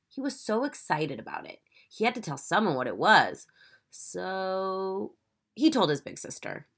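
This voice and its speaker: Lively, bright-voiced woman teacher reading aloud. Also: lowish voice, vocal fry, husky. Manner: animated, instructive, emphatic storytelling. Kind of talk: expressive children's read-aloud narration.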